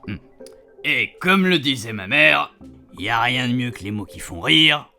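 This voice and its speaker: A man's voice: medium pitch, animated, a little slow, pushed and bright, soft background music, exaggerated voice. A man playing a chatty, cheeky retired grandpa who rambles colloquially through a radio fiction monologue. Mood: cheeky, reminiscing. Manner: rambling, colloquial, repeatedly checking his puns landed. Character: chatty retired grandpa, cheeky, self-amused, homespun storyteller.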